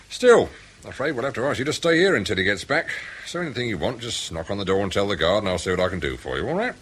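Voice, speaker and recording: An actor playing a deferential male minder in a radio drama, chirpy and polite with quiet menace. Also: on the low side.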